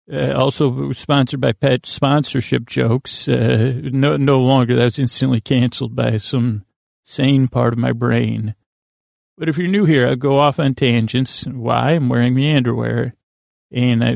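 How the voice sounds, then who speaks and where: Creaky-voiced man rambling soothingly. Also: mid-range voice, a little mellow, no room sound, clean studio sound. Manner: meandering, halting, often trails off and repeats himself; lulling, soothing, whimsical. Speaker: podcast host. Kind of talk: rambling bedtime monologue to lull listeners to sleep.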